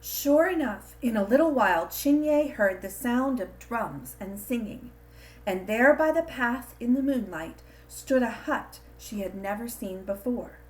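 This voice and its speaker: A woman reading a scripted children's storybook in an expressive, deliberate storytelling manner. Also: a little high, poor audio. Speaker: storybook reader.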